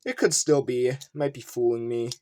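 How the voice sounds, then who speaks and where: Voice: mid-range voice, steady tone, normal speed, a little mellow. A video host giving casual unboxing commentary, rambling and thinking aloud while showing collectibles. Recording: noisy room with clicking handling sounds.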